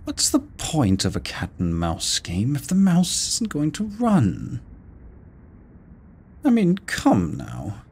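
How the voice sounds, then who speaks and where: A man's voice: mid-range voice, wildly swooping pitch, darkish tone, husky, full character voice. A man voicing a seductive, courteous immortal incubus demon in a roleplay audio drama, speaking to the listener in a silky, theatrical way. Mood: playfully exasperated. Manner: slow, silky, theatrical, courteous with drawn-out words. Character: seductive, teasing male incubus demon, immortal deal-maker.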